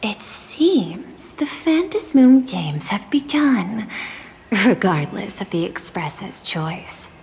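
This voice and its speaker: An actress playing a poised, calculating female executive in a video game, speaking formally and deliberately with courteous ambition. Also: mid-range voice, very animated, darkish tone, slight background noise. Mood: composed, calculating. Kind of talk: scripted video game dialogue. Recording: long hall reverb, music underneath.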